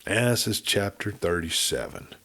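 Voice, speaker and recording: Guy reading a cowboy story like an audiobook, lowish voice, dramatic telling. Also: big pitch swings, normal speed, creaky, a bit bright. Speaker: audiobook narrator. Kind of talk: scripted dramatic western story narration.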